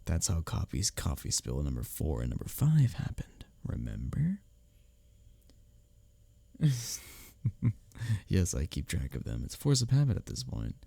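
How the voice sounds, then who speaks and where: A man's voice: extremely low pitch, huge pitch swings, measured pace, creaky, long pauses, warm tone, slightly rough, no echo, full character voice. A man playing a bickering but secretly caring workplace rival in an intimate ASMR audio roleplay, with a teasing, sardonic manner. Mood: exasperated teasing. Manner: intimate, teasing, sardonic, chuckling between lines. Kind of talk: ASMR audio roleplay, one-sided dialogue.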